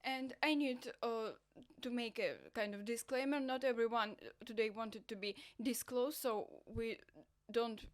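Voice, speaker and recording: A woman's voice: a little high, fairly even intonation, measured pace, hardly any pauses. Eastern European woman hosting a chat show. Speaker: host. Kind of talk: hosting an interview.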